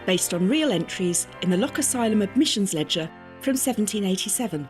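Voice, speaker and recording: Over clear music, a woman announces a drama. Her mid-pitched voice moves in a lively way through scripted credits. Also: brisk.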